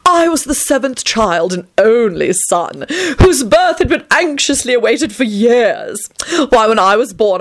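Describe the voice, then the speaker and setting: A woman voicing a pompous, theatrical male vampire who relishes telling his own grand tale, slow and drawn out. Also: fairly high pitch, voice pushed up. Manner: grandiose, drawn-out, preening delivery.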